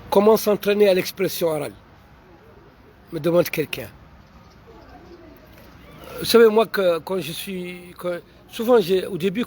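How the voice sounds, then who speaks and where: A man's voice: a little high, darkish tone. Man, Mauritanian accent, non-native French, hesitant, many fillers, faint traffic hiss behind. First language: not French. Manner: halting, many fillers and repeated restarts. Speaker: writer answering audience questions. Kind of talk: unscripted answers to online questions.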